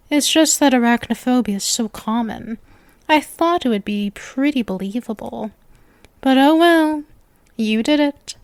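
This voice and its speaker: A woman's voice: a little high, no echo, natural voice. A woman voicing a sweet, lovestruck, clingy witch girlfriend in an ASMR roleplay, speaking to the listener in a coy, nervous, gushing and intimate manner. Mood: resigned, conceding. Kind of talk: ASMR roleplay, scripted acting addressed to listener.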